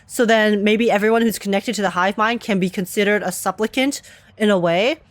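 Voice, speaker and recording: A young woman streaming a game, reacting excitedly and rambling through theories out loud. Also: mid-range voice, a little fast, no room sound. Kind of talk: casual reaction commentary. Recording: faint game music behind. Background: game music.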